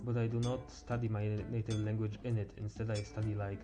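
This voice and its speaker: A teenage male game streamer thinking aloud in casual live chat, halting and stumbling over words as he goes. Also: fairly low pitch, little pitch movement, over music. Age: teens.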